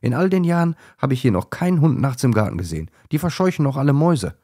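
Flustered grumpy lady owl done by a man, pitched up, husky, for a children's tale. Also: big pitch swings, fast, warm tone, no room sound, clean studio sound. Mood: angry. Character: grumpy, easily flustered female owl, quick to apologise.

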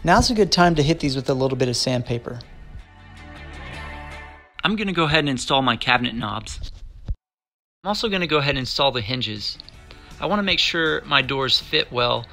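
A man narrating a woodworking build over faint background music. His delivery is plain and measured, walking through each cut and measurement as a practiced how-to voice-over. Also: medium pitch, animated, pushed and bright. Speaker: DIY woodworking instructor. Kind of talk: scripted step-by-step voice-over narration.

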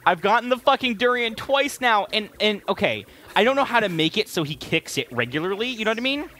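A man's voice: high-pitched, big pitch swings, brisk, sharp tone. A man doing casual gaming commentary, joking, teasing and swearing freely. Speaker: let's play host.

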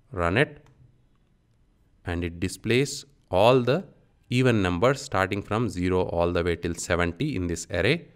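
A man giving an instructional software tutorial, dictating in short, clipped phrases as he works through each step. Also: low voice, smooth. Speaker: programming instructor.

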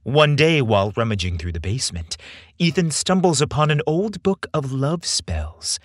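A male podcast narrator with a husky voice and a widely swooping, expressive pitch. He reads scripted material in a theatrical, deliberate way, recorded close and dry. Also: no echo. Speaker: podcast host and story narrator.

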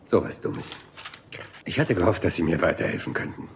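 A man's voice: deep voice, animated, fairly quick, gravelly, a little hiss or hum. An actor playing a rumpled, humble male police inspector in a German crime drama dub, apologetic and politely persistent. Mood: humble, dogged.

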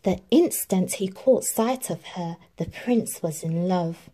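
A woman reading a children's storybook aloud in an expressive, animated narrating style. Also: lowish voice, takes it slowly. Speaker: storybook reader.

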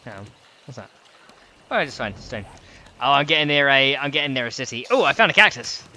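A man's voice: medium pitch, animated, sharp tone. A man hosting a livestream, narrating what he does in the game and joking with his co-players. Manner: narrates his own play, quick to laugh. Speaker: livestream host. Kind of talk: casual gaming chat.

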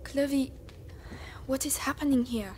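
A woman's voice: high voice, measured pace, a little mellow, a little hiss or hum. An urgent, protective teenage girl. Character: determined teenage girl protecting her brother. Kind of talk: video game cutscene acting. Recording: game audio with music.

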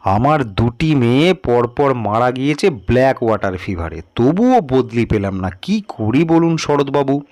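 Man acting weary sub-inspector, audio story, grave, emphatic, resigned, unhurried, close dry sound. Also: mid-range voice, big pitch swings, a little mellow, dry recording. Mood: resigned, bitter. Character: weary senior sub-inspector, anxious, warning a newcomer.

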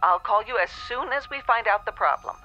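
A woman's voice: a little high, brisk, faint music behind. An actress playing a placating, businesslike female phone company clerk in a radio drama, heard through a telephone filter. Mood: reassuring. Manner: reassuring, businesslike. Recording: muffled telephone-line sound.

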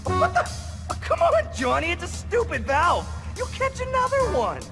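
A man playing a brash, greedy boy schemer in a cartoon game, loud and pushy with a gravelly edge. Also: very high voice, huge pitch swings, moderate pace, full character voice. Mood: irritated. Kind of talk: cartoon video game acting. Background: music.

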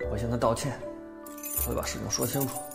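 A man acting a flustered, excitable young man confused about his feelings in a Mandarin radio drama, with a creaky voice. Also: medium pitch, fast talker, natural voice. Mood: brooding, self-justifying. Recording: background music under the voice.

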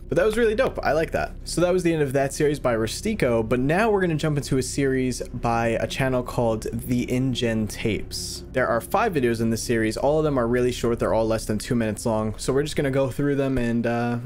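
A man's voice: medium pitch, slight background noise. A man in his twenties hosting reaction videos with casual commentary, close on a desk microphone. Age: 20s. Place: small furnished room indoors.

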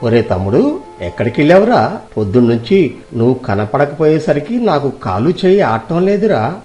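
A man's voice: on the high side, very animated, moderate pace, mellow, lo-fi sound, faint music behind. A man voicing a wheedling, fussing elder brother, an older village man in a dramatized moral story.

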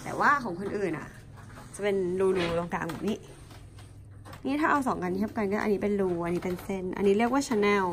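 A woman's voice: mid-range voice, a little fast, background noise. A young adult woman, chatting casually to camera as a vlog host. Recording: music mixed loudly over voice. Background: loud music.

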